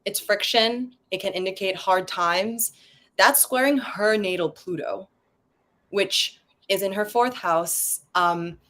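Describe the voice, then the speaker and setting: A woman's voice: medium pitch, fairly bright tone. A woman from Malaysia, a guest on a casual podcast over a video call, explaining things step by step and listing terms one by one. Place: home in a city, sirens outside.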